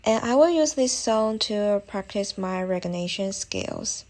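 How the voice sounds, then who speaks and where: A woman's voice: mid-range voice, expressive, a little slow, a little mellow. A young woman whose first language is Chinese, narrating a study tutorial in careful, slightly non-native English. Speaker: language-learning vlogger. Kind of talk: scripted voice-over tutorial.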